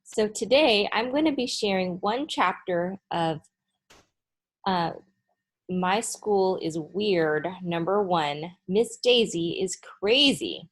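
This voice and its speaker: A woman teacher speaking to children in an expressive read-aloud style, addressing them directly. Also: medium pitch, slow pace. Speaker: teacher reading aloud to students.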